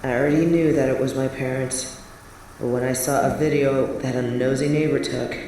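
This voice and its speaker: A woman's voice: rumbling low, fairly even intonation, moderate pace, mellow, a little hiss or hum. A woman acting a young biker on a date, wistful and defiant, confiding her memories in a scripted audio roleplay. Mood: bitter, defiant. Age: young adult. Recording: very echoey, distant sound with music behind. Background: music.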